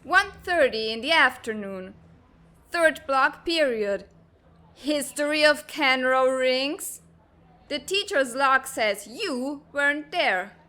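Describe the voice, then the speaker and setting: Woman doing bossy hot-tempered teen girl for a comic dub, high sharp voice, all bluster. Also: animated, slow pace, heavily acted. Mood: bossy, indignant. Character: brash, hot-tempered teenage girl cadet. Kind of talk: animated comic-dub voice acting.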